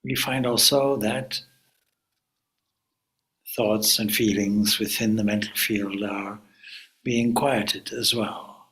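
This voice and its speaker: A man guides a meditation in a fairly low voice with a bright edge. He speaks very slowly and deliberately, as a calm spiritual teacher. Short phrases are set apart by long pauses. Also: low-quality recording.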